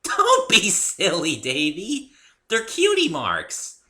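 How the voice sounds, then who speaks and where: A man voicing a bubbly, excitable young female cartoon pony with a perky, exclamatory delivery in a story reading. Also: high-pitched, slow, voice pushed up, full character voice. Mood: excited.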